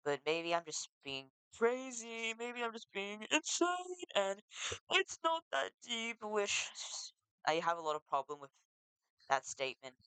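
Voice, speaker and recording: A teenager, talking in a casual confessional vlog, halting and full of restarts, earnest and emotional. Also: medium pitch, big pitch swings, pushed and bright, clean tone, no room sound, low-quality recording. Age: teens. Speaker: vlogger.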